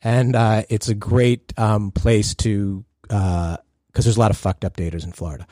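A man's voice: on the low side, fairly even intonation, normal speed, warm tone, no echo, studio quality. A man hosting a podcast in casual chat, joking and laid-back, often trailing off mid-sentence. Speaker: podcast host.

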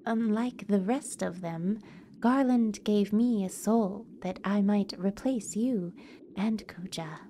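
A woman's voice: medium pitch, takes it slowly, warm tone, slight background noise. A woman voicing a detached, hopeless young girl from a video game in a subdued, resigned way. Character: bleak, detached young girl without hope. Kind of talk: video game character read-aloud.

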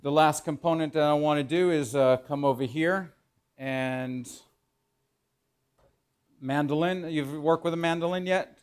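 A middle-aged man, a chef teaching in a kitchen, explaining as he works in an informal, halting way and repeating phrases for emphasis. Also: fairly high pitch, clean tone. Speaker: chef giving a cooking demonstration. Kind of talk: instructional cooking demo, explaining while working. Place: indoor professional teaching kitchen.

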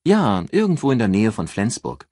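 Man voicing an amused, chatty countess, pitched up. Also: moderate pace, husky, dry recording, clean studio sound, exaggerated voice. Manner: lively, teasing storytelling. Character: amused, chatty countess telling an anecdote, adult woman. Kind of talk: audiobook character dialogue.

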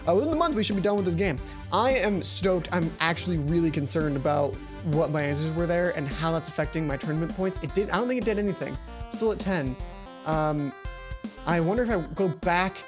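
A young man hosting a let's play, thinking aloud in an excitable, rambling way into a headset mic in a small room at home. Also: sits high.

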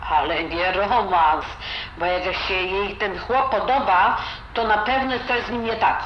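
A woman's voice: medium pitch, unhurried, lightly acted. A woman playing a blunt, disapproving old grandmother with a Silesian accent and grumbling, dialect-filled talk in an audio drama. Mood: grudging. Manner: grumbling, colloquial, full of dialect words. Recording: roomy, dull, noisy home recording.

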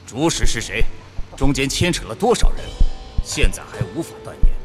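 An actor playing a proud retired tycoon speaking to the press in an audio drama, measured and defiant. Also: male voice, medium pitch, lively intonation, close to an everyday voice. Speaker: retired company founder. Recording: studio voice with music bed.

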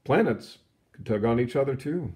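A man reading a children's science book aloud in an expressive storytelling manner, stretching words for emphasis, with casual asides. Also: mid-range voice. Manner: animated, storytelling delivery with drawn-out emphasis. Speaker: read-aloud reader.

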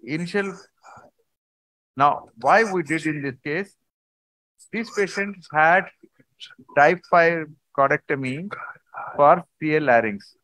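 A man, a surgeon, explaining surgical video clips in a halting conference talk over a video call. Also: a little high, fairly bright tone, dry recording, poor audio. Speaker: surgeon presenting cases.

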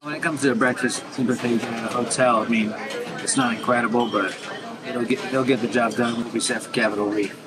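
A man's voice: mid-range voice, steady tone, a little fast, over loud music. A young man talking casually and jokingly for a travel vlog, picked up by the camera's mic. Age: young adult. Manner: joking, informal. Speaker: travel vlogger. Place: outdoors on trails, also restaurant booth.

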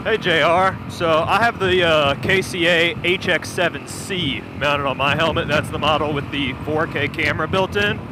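A man's voice: on the high side, moderate pace, few pauses. A man riding a motorcycle, explaining gear features in detail, matter-of-fact, over a helmet intercom. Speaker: video producer reviewing gear. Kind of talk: explanatory casual chat. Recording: intercom audio with wind and engine noise.

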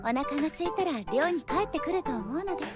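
A woman's voice: high voice, lively intonation, quick pace, over loud music. A woman voicing a polite, soothing young schoolgirl, in anime acting. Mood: calm. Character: polite, calm young girl classmate.

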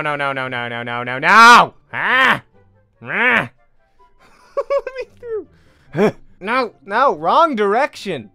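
A young adult man giving excitable let's play commentary into a close desk microphone, exclaiming and repeating phrases as he plays. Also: sits high, clean tone, no echo. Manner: animated, exclaiming, repeats phrases while playing. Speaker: gaming video host. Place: small indoor room at home. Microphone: close desk microphone, headset on.

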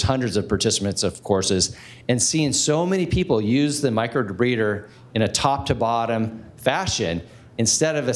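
Male moderator, 40s, energetic, handheld mic, conference room. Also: medium pitch. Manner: energetic, gestures while talking. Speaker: panel moderator. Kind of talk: conference panel talk.

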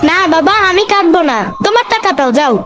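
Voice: extremely high pitch, expressive, fast. An actor voicing a cheeky, stubborn little boy in a comedy cartoon, whiny and mouthy, with a Bangladeshi accent. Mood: stubborn protest. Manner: whiny, bargaining, backtalking. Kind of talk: Bengali comedy cartoon dub. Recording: loud music over the voice.

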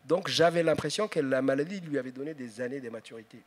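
A man's voice: mid-range voice, quick pace. A middle-aged man, an author on a festival panel, telling stories with many hesitations, into a handheld mic before a live audience. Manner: storytelling, hesitant with many fillers and restarts. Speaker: author guest. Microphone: handheld microphone.

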